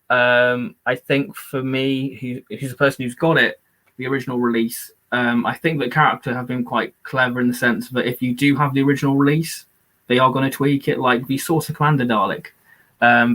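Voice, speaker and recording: A man at a middling pitch talks casually on a live-stream, rambling with lots of fillers. He is excited about his topic. His voice is going hoarse from talking. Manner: rambling and enthusiastic, many fillers. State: losing his voice.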